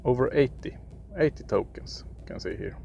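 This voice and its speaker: A young man from Sweden with a Swedish accent, for whom English is a third language, giving casual gameplay commentary in a halting way, often searching for words. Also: mid-range voice, expressive, gravelly, mellow, dull audio, slight background noise. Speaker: gaming video creator.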